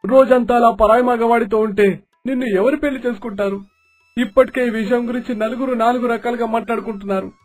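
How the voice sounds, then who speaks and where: A man's voice: extremely high pitch, fairly even intonation, quick pace, voice pushed up. A man voicing a humble, anxious, lamenting village father in a cartoon moral story. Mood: distressed, pleading. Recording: dialogue over background music.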